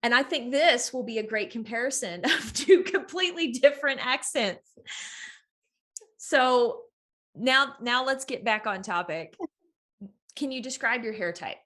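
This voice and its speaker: A woman's voice: fairly high pitch, a little slow, fairly bright tone. A young woman from Texas with a light Texan accent, hosting a casual chat in a bubbly, enthusiastic way. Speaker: host.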